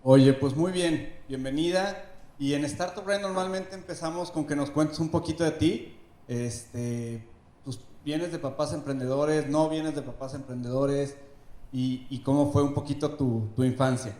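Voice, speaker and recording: Man, host, mellow tone, casual fillers, echoey room. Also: a little high, a little slow. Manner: casual, uses fillers like "este". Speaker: interview host. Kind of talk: onstage interview. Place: event stage before an audience.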